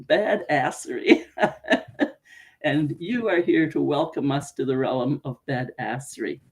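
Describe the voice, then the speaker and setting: A woman's voice: extremely low pitch, slow. A woman from Canada hosting a casual interview over a video call, chatty and laughing often. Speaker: podcast host.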